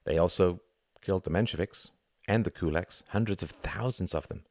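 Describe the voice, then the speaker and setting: A man voicing a morally earnest younger son, murmuring, halting and weary, in a dramatised story reading. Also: low-pitched, a little mellow, no echo, studio quality. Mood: subdued, earnest.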